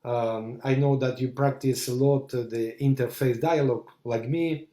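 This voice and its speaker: A man, a rabbi talking in a halting way, stopping often to search for words. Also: mid-range voice, steady tone, dark tone, roomy sound. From Argentina. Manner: halting, searching for words, many fillers and restarts. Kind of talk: conversational discussion, switching between English and Portuguese.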